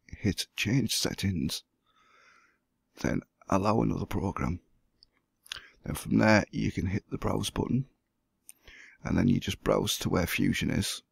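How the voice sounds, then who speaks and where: A hoarse man who has the flu, walking through a computer setup step by step as the host of a gaming channel. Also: low voice, very animated, unhurried, long pauses, no echo, studio quality. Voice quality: gravelly, husky. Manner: plain step-by-step explaining. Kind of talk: screen-recorded tutorial.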